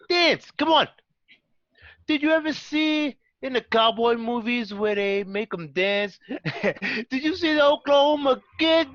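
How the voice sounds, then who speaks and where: A woman playing a volatile, drunken male mobster in a radio drama, taunting and bullying in a forceful, drawn-out, profane way. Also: high-pitched, no room sound, dull audio. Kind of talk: amateur radio drama acting.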